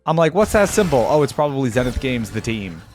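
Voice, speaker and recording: Guy doing a gaming reaction, slangy and excited, thinks out loud, faint music behind. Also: medium pitch, a little fast. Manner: slangy, enthusiastic, thinking aloud. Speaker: reaction video host. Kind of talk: casual gaming reaction commentary. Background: game music.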